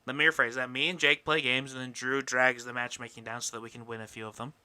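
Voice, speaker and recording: A man hosts a casual podcast in a very bright, forceful voice with a smooth, clear tone. He is opinionated and rhetorical, with some swearing and mock indignation. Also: medium pitch, flat delivery, quick pace. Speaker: podcast host.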